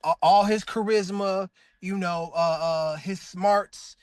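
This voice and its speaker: A man being interviewed about his voice work, gushing in a loose, rambling way full of "like" and restarts. He sits high for a male voice, though he calls it deep himself. The sound is dry and close, with no room in it. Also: fairly even intonation, slow pace, no room sound. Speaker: voice actor guest. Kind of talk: casual interview chat.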